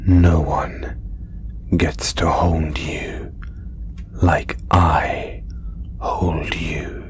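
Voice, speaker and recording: A man playing a condescending, possessive alien trophy hunter in an audio roleplay, speaking deliberately in breathy half-whispers with long pauses. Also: rumbling low, level tone, exaggerated voice. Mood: possessive, intimate. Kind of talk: slow audio roleplay monologue to listener.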